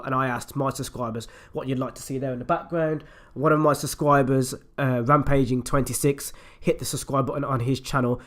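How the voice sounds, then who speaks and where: A man with a raspy voice, hoarse from a flu, chatting upbeat and direct to viewers as he reviews a microphone at home on a desktop USB mic. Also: mid-range voice. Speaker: YouTube channel host. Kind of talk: casual product review and unboxing. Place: at home, indoors.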